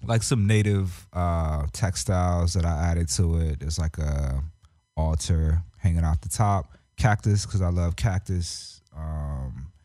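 Deep, mellow-voiced laid-back rapper. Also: male voice, no room sound, studio quality. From Japan. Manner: laid-back, slangy, drawn-out phrases. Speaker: rapper and artist guest. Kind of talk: casual interview chat. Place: radio studio. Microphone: studio mic on boom arm.

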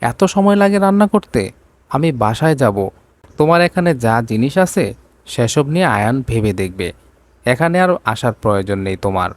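A man's voice: mid-range voice, sing-song, normal speed, natural voice. A man voicing a curt, commanding young rich businessman in an audio romance story. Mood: impatient.

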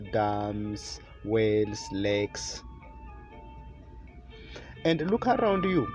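A middle-aged man from Ethiopia with an Ethiopian accent gives a formal, deliberate prepared speech to camera inside a car. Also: mid-range voice, a little mellow. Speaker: presenter, children's author.

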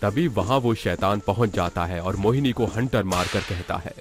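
Low-voiced man narrating over music. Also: moderate pace. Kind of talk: dramatic scripted horror-cartoon narration. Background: background music.

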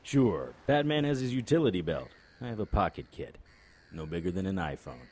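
An actor playing a cocky, unflappable supernatural private investigator in an audio drama, full of wry, flippant banter. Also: male voice, sits low, lively intonation, brisk, darkish tone. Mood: brisk, cocky.

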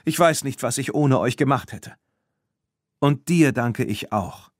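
A man's voice: mid-range voice, unhurried, no room sound, clean studio sound, pitched above the performer's own voice, lightly acted. A man voicing an emotional, earnest girl with a heartfelt manner in a children's audiobook. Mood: grateful. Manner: heartfelt, excitable. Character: emotional, earnest girl who loves her horse.

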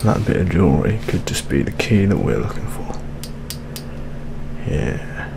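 A young man playing a brooding British police detective in an ASMR roleplay, with an English accent and a breathy, half-whispered, musing delivery. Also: low-pitched. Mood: businesslike, wary. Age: young adult. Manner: slow musing, repeating himself, colloquial. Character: brooding, gruff British male police detective at crime scene. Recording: clear steady background noise.

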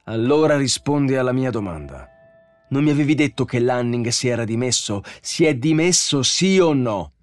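Narrator's take on arrogant male scientist, man acting, raised pitch, aggressive, impatient, bullying bark, audiobook character. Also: huge pitch swings, brisk, hardly any pauses, husky, dry recording, soft background music. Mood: furious. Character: arrogant, hot-tempered male mathematician, mocking and bullying.

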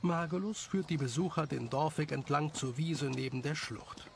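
Male storyteller with a creaky edge, scripted narration over noticeable ambience. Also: a little high, normal speed, noisy background.

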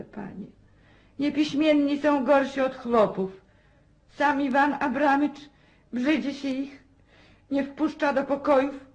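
A woman's voice: high-pitched, darkish tone, dull audio. An actress playing a meek, distraught country mother in a radio play, pleading and plaintive.

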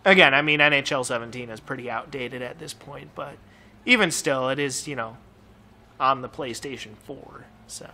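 A man's voice: medium pitch, steady tone, normal speed, sharp tone, a little hiss or hum. A young man chatting loosely over his gameplay as a channel host. He rambles, swears freely, and is slightly losing his voice with a numb mouth. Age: young adult. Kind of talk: casual gameplay commentary.

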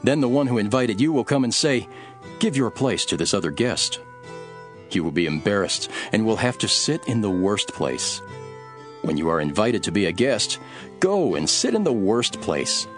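Solemn male teacher-prophet, low voice, very varied intonation, earnest preaching, scripture drama. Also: big pitch swings. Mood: earnest teaching. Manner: measured, emphatic, preaching. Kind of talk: dramatized scripture reading over music. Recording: music behind the voice.

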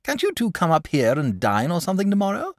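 A man's voice: high-pitched, huge pitch swings, quick pace, dry recording, studio quality, pitched above the performer's own voice, full character voice. Genial bashful dragon, polite and chatty, lifted voice, swooping lines, man doing it. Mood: eager. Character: genial bashful male dragon, lazy poet.

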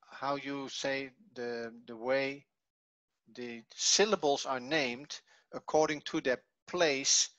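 A man giving an online language lesson, reading from a textbook and explaining it in a halting, explanatory way. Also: mid-range voice, fairly bright tone, dry recording. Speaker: Hebrew teacher. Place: online video call.

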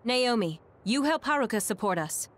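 A woman's voice: fairly high pitch, lively intonation, moderate pace, lightly acted. Poised, lilting female squad leader, voiced by an actress. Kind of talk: anime video game dub acting.

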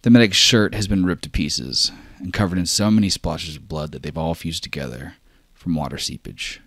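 A man's voice: sits low, normal speed, fairly bright tone, slightly rough, no echo, clean studio sound. A man narrating as game master of a tabletop role-play, describing scenes casually.

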